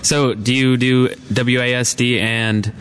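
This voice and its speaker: A man hosts a relaxed, chatty live podcast. He speaks informally, putting questions to his guests in an ordinary mid-pitched voice. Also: slow, clean tone. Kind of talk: casual chat in a live podcast. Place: gaming convention, live podcast.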